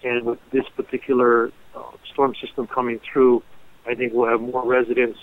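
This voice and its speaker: A man speaking as a civil defense official, giving a measured, hesitant emergency briefing over a telephone conference line. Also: mid-range voice, completely flat delivery. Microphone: telephone conference call. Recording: narrow, tinny phone conference line.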